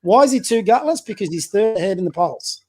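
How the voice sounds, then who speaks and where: A man with a high-pitched voice, a community basketball coach giving blunt, opinionated views as a podcast guest. He fires off rhetorical questions and often stumbles and restarts. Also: animated, fairly quick, slight background noise. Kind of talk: casual political chat.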